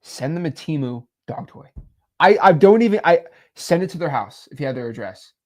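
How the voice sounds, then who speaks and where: A man giving casual advice in a rambling, animated way with lots of fillers. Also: a little high, a little fast.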